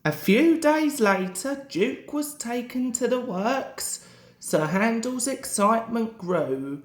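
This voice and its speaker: Young guy narrating a kids storybook, unhurried, very up and down pitch, echoey room. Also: animated. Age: young adult. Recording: roomy, echoing home recording.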